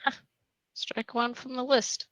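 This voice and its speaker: A woman playing a cocky, sardonic young psychiatric patient, self-assured and deadpan in a script read. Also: on the high side, a little slow. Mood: dry. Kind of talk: dramatic table read of a screenplay.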